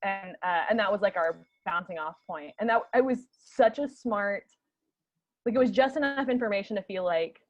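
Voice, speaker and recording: A woman's voice: mid-range voice, normal speed, dull audio. A woman actor chatting casually in a group discussion, emphatic and repeating phrases as she thinks aloud. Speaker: actor in a radio play, panel guest.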